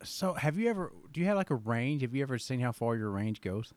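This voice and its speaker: A man's voice: medium pitch, lively intonation, fast, a little mellow, no room sound. A man in his forties hosting a casual interview, telling jokey stories in a chatty way. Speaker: podcast host.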